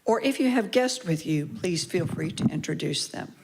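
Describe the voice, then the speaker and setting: A woman leading a congregational service, reading the affirmation and welcome announcements in a measured, formal way. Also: fairly low pitch, lively intonation, gravelly, a little mellow. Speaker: worship service leader. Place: congregation sanctuary.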